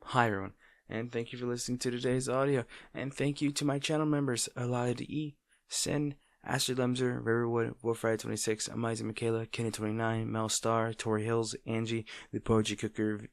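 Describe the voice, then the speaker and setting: A man's voice: mid-range voice, little pitch movement, a little fast, few pauses, no echo, clean studio sound. A man speaking casually as an audio roleplay creator, reading out thanks to his listeners. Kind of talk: casual outro thanking channel members.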